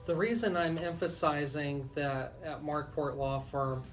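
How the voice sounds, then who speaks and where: A man hosting a legal talk radio show, explaining in a deliberate way as a lawyer. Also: fairly high pitch, fairly even intonation. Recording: music bed under the voice. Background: music.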